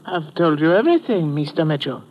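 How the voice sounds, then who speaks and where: A mild, fussy Japanese man in an old-time radio drama. His speech is polite and halting, with a creaky edge and lively pitch. The recording sounds dull and dated. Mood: politely fussy. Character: mild, fussy, hard-of-hearing Japanese man, calmly concerned with money. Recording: muffled vintage radio recording.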